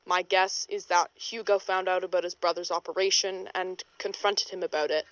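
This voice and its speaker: Woman playing a wry, low-pitched noir investigator, dulled sound. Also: steady tone, moderate pace, a bit bright, dry recording, dull audio, lightly acted. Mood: brisk, wry. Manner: wry, world-weary, slangy. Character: hard-boiled female paranormal investigator in 1920s Toronto, wry. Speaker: investigator and narrator. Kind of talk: audio drama, noir-style recorded case statement.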